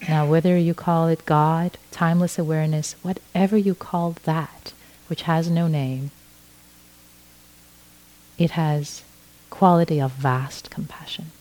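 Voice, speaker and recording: A middle-aged woman from Iran, a native Farsi speaker, giving a gentle dharma talk as a meditation teacher, often restarting her phrases. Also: sits low, fairly even intonation, poor audio. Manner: slow, gentle, with frequent restarts.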